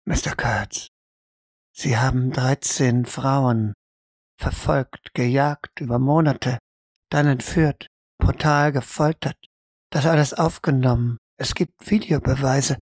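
A woman's voice: a bass voice, steady tone, slow pace, a little mellow, slightly rough, no room sound, studio quality, voice pushed down, heavily acted. A woman playing a stern psychologist who confronts a criminal bluntly and insistently in an audio role-play. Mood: stern, accusing.

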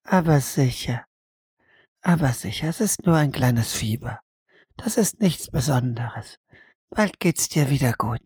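Lady doing a doting mom comforting her kid in an audio play, soft breathy low voice, super dry sound. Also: animated, unhurried, dry recording, full character voice. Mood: tender, soothing. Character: tender, soothing mother comforting her little son. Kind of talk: audio drama acting.

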